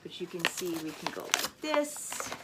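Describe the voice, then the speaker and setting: A woman's voice: on the low side, big pitch swings, unhurried, heavy background noise. A woman speaking like a teacher at story time, explaining and narrating in an instructive, step-by-step way. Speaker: teacher reading a storybook and showing a craft. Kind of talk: read-aloud storytelling and casual how-to demonstration.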